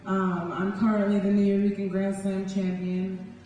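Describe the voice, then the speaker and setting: A woman's voice: mid-range voice, completely flat delivery, a little slow, dark tone. Young New woman in an echoing hall. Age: young adult. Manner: dramatic, rhythmic, emphatic delivery when reciting. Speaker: spoken word poet. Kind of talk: live spoken word performance, with casual chat to the audience. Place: large indoor hall before an audience. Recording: echoey hall from far off, noisy.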